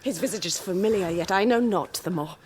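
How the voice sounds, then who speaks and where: An actress playing an anxious, cautioning Elizabethan noblewoman, earnest and breathy, with formal period speech in a radio drama. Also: medium pitch, fairly quick, a little mellow, slight background noise, close to an everyday voice.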